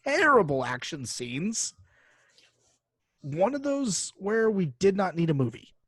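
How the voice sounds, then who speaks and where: A man's voice: sits high, very animated, takes it slowly, a bit bright, husky, no echo, studio quality. A man co-hosting a podcast in casual, slangy chat, with frequent fillers as he talks through lists and figures. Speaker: podcast co-host.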